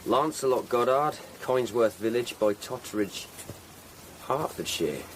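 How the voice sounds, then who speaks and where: An actor playing an earnest, weary Tudor coroner in a radio drama, speaking formally and gravely. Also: male voice, medium pitch, lively intonation, a little slow, slight background noise, lightly acted, rough recording. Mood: grave, worried.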